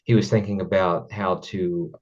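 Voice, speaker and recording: A man hosting an interview, speaking casually and haltingly, often repeating words as he thinks aloud. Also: low-pitched, flat delivery, muffled recording. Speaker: interview host.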